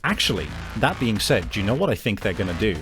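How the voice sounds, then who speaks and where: A man's voice: lowish voice, big pitch swings, quick pace. Man as game master, music behind. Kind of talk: narrating a tabletop roleplaying game.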